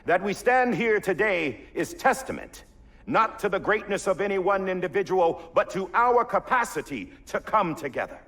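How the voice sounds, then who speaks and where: An actor playing a solemn middle-aged male president delivering a measured, oratorical speech in a video game cutscene. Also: fairly high pitch, a bit bright, exaggerated voice.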